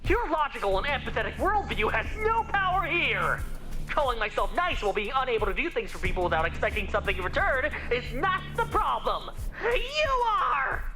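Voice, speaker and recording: A man's voice: extremely high pitch, big pitch swings, fairly quick, rough recording. An actor playing a self-pitying, delusional male internet troll and grand wizard in a theatrical, whiny game performance. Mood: grandiose, defiant. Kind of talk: exaggerated video game cutscene acting. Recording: loud music behind the voice. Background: music.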